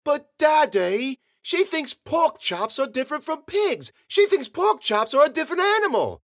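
A man's voice: very high-pitched, sing-song, moderate pace, voice pushed up, full character voice. A man voicing creepy, gleeful little girls with an insistent, babbling, squealing delivery in a narrated story. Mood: indignant. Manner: babbling, giggly, repetitive and insistent.

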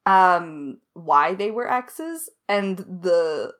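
A woman talking casually and candidly as a creator in a behind-the-scenes discussion, animated and quick to swear. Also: on the low side. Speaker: podcast creator answering questions.

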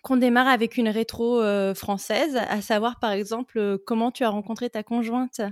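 A woman hosting a podcast interview, playful and quick to laugh as she asks her guest questions. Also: a little high, steady tone, a little mellow, no room sound, studio quality. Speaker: podcast host. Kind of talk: casual interview chat.

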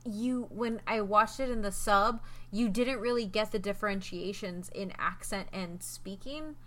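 Woman, podcast host, casual chat, bright tone, enthusiastic, filler words. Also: mid-range voice, unhurried. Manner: chatty, frequent ums, enthusiastic.

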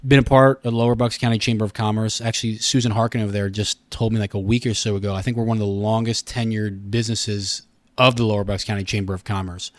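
A man's voice: fairly low pitch, level tone, quick pace, dry recording. A man in his thirties explaining things conversationally and at length as an interview guest. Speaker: guest, radio station communications director. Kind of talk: casual interview talk.

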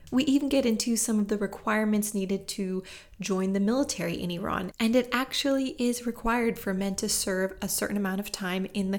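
Informal woman hosting an interview podcast. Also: medium pitch. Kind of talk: casual chatty interview.

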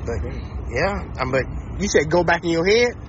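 A man hosting a street interview with a slightly high voice. He talks in casual slang and preaches encouragement to his guests. The sound is dull, with faint traffic behind him. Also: animated, normal speed. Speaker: host. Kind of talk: on-location interview.

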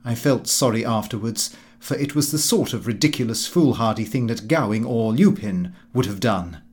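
A man's voice: mid-range voice, normal speed, darkish tone, natural voice. A man voicing a pompous, fussy middle-aged Victorian clerk in comic audiobook narration, prim and precise in his delivery. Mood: self-important. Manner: prim, self-important, precise.

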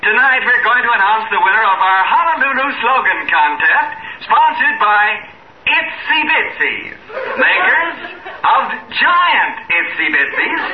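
Gushing high-pitched old-time radio announcer. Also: male voice, exaggerated voice. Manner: grandiose, drawn-out showman delivery. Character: gushing syrupy kiddie radio host uncle, male. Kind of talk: 1950s radio comedy announcing. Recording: noisy, dull, echoey 1950s radio recording.

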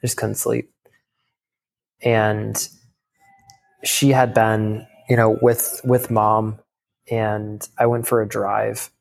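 A young man telling a candid personal story in casual talk, halting, with many fillers and restarts. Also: lowish voice, level tone, vocal fry. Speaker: guest.

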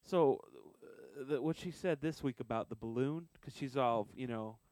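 A man's voice: medium pitch, moderate pace, darkish tone, dry recording. A man co-hosting a casual podcast chat, blunt and scoffing, telling stories with stumbles and restarts. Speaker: podcast co-host.

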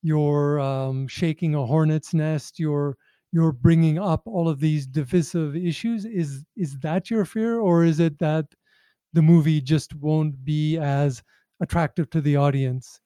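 A man's voice: fairly high pitch, measured pace, mellow, clean tone, no echo. A man conducting a conversational interview, phrasing his questions carefully and tentatively.

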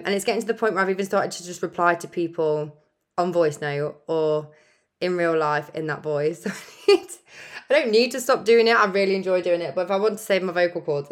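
Croaky woman podcaster. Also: fairly bright tone. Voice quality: croaky, deep. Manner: earnest, chatty, laughs often. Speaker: podcast host. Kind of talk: casual motivational solo podcast.

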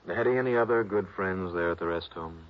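Actor as a low-voiced, wry radio detective man. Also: lightly acted. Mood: dry, probing. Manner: dry, measured, probing. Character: cool, wry male insurance investigator, narrating his case. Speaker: lead detective and narrator. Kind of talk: 1960s radio detective drama. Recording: noisy, muffled old radio recording.

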